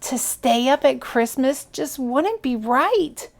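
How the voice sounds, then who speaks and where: Slow-paced woman reading a children's story. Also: high voice, animated, creaky. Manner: deliberate, dramatic phrasing with hushed moments. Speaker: storytime reader. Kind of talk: expressive children's picture-book read-aloud.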